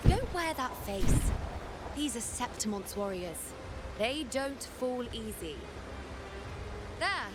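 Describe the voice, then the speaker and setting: A woman's voice: a little high, very noisy, natural voice. An actress playing a confident, playful and brash young warrior woman in a video game. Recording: loud music behind.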